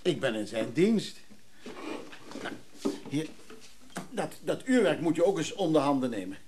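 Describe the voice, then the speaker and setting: A man's voice: on the high side, big pitch swings, unhurried, background noise. An actor playing an eager, wheedling errand man in a Dutch radio drama, hesitant and coaxing.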